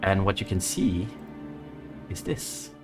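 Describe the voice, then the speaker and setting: A man with a fairly low voice narrates a tabletop horror game as its game master. He rambles loosely between scenes. Faint music plays behind him. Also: lively intonation, takes it slowly. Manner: loose, rambling, swearing freely.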